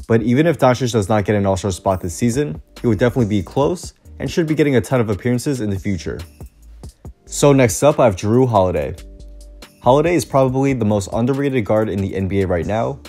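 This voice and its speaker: This is a young man delivering scripted basketball commentary in a low, mellow voice that still sounds a little rough from a recent flu. He moves steadily through lists of stats in an upbeat tone. Faint music plays underneath. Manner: reads a prepared script with stat lists, upbeat. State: recovering from the flu, voice slightly off. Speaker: sports commentary YouTuber.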